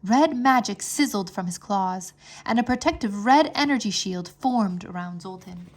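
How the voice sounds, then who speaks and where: A woman reading a children's fantasy chapter book aloud as an expressive storyteller. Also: mid-range voice, normal speed. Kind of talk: expressive scripted narration.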